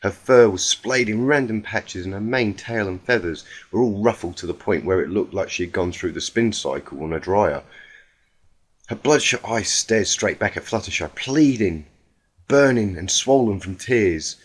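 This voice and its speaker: A man's voice: lowish voice, normal speed, slightly rough. A man reading a fan fiction story aloud as dramatic narration, with an emotive, emphatic delivery.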